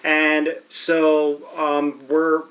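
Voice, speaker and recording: A man's voice: a little high, completely flat delivery, drawn-out words, fairly bright tone, poor audio. A man hosting an interview show, chatty and friendly, with many fillers as he leads into questions. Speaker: show host.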